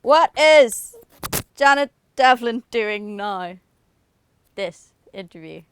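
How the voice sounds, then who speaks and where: A woman's voice: fairly high pitch, lively intonation, slow pace, a bit bright, slight background noise. A young woman from Ireland with an Irish accent, chatting casually and self-mockingly to camera as she answers questions about herself. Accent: Northern Irish. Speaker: singer answering autocomplete questions.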